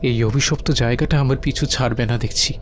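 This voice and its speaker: A man playing an anxious, bewildered and weary young village son in a horror audio story, exclaiming and halting when alarmed. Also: medium pitch, fairly even intonation, a little hiss or hum, natural voice. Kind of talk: dramatised horror story dialogue.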